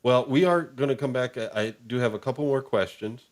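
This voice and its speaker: A man hosting a podcast, chatting and interviewing in an informal, halting, agreeable manner. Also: medium pitch. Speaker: podcast host. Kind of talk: casual, conversational interviewing.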